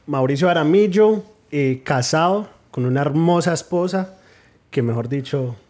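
A man from New Jersey talks as a guest on a casual podcast. His voice is a bit husky and slightly high, and he laughs often while telling stories. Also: moderate pace, a little mellow. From New Jersey, United States. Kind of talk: casual interview talk.

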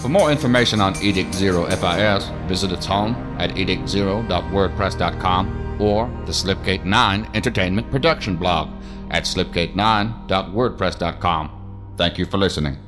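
A man's voice: deep voice, moderate pace. A man announcing the credits of an audio drama in scripted narration. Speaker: announcer reading show credits. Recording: music behind the voice.

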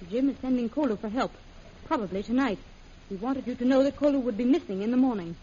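A woman's voice: on the high side, fast talker, dark tone, lightly acted. An actress playing a principled, kind young woman, speaking sincerely and properly in a 1930s radio serial. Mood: earnest, firm. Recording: dull, noisy old radio recording.

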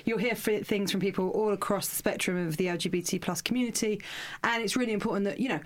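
A woman hosting a podcast interview, chatty and encouraging, quick to chime in with agreement. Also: mid-range voice. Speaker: podcast host. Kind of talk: casual interview chat.

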